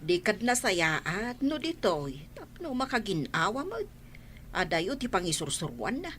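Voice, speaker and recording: A woman's voice: medium pitch, very animated, measured pace, fairly bright tone, slight background noise. A woman playing a gossiping village neighbor in a radio drama. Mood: gossipy.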